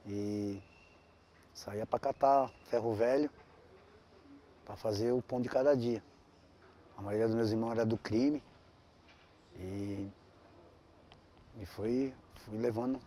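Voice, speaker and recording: Halting São man in his forties. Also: fairly low pitch, mellow, a little hiss or hum. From São Paulo, Brazil. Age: 40s. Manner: halting, restarts phrases, frequent tag questions. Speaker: interviewee. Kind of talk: documentary interview, personal story.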